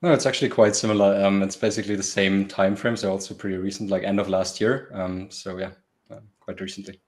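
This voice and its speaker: The man on a couple's interview who slips in quick, even-toned, low-pitched replies. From Germany. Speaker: interview guest, video creator. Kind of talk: casual chat, brief answers.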